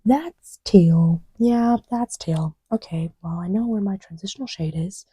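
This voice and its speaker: A woman speaking softly and often half-whispering, murmuring to herself in a casual, ASMR-style ramble. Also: medium pitch, a little slow, breathy edge, no echo. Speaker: audio creator.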